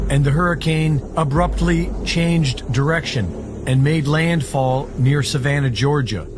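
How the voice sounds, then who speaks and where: A man narrating in a steady, factual documentary style. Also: a little high. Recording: narration with clear background noise.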